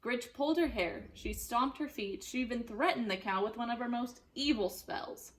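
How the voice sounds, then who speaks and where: A woman narrating a children's storybook in an expressive, deliberate and dramatic way. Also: fairly high pitch, rough recording. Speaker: storybook reader.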